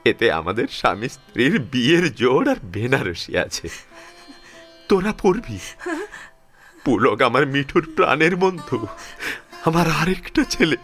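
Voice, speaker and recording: Man acting slow, impassioned elderly father-in-law. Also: on the high side, a bit bright, heavily acted. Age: older. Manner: slow, pleading, repeating phrases with emotional pauses. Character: tender, grieving elderly father-in-law, resolute and protective. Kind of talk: emotional audio drama acting.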